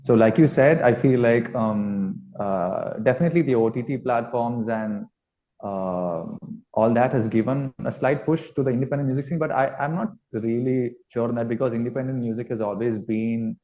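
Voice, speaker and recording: A man's voice: medium pitch, normal speed, mellow. A man giving casual, tentative interview answers as a band musician, on slightly dull audio. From South India. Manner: tentative, thinking aloud.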